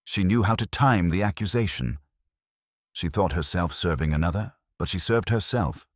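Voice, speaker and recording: A man's voice: deep voice, normal speed, no room sound, clean studio sound, voice pushed up. A man voicing a stern, ponderous older master detective in an audiobook mystery, with formal, precise diction. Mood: stern, imperious. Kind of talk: audiobook mystery narration dialogue.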